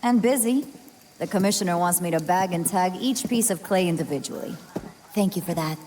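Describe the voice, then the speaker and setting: A weary policewoman, voiced by a woman in a video game, sarcastic and dry. Her voice sits a little low, with noticeable noise behind it. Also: a little fast, warm tone, close to an everyday voice. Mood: wry, exasperated.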